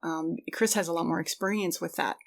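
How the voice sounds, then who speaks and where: A middle-aged woman speaks as a podcast co-host in relaxed conversation. Her voice is a little low for a woman, and her delivery is candid and reflective. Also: brisk, gravelly. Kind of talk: casual conversational podcast chat.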